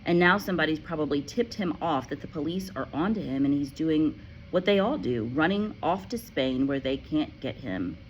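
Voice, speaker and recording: Woman reading as a soft-spoken kind teacher, calm and measured, lively pitch. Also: lo-fi sound, slight background noise. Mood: gentle calm. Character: gentle, kindly woman schoolteacher.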